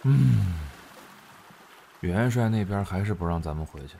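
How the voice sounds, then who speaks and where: A man acting an aloof young male officer in an audio drama, terse and cool with a guarded soft side. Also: deep voice, lively intonation, measured pace, creaky, a little hiss or hum, full character voice. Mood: flat, annoyed.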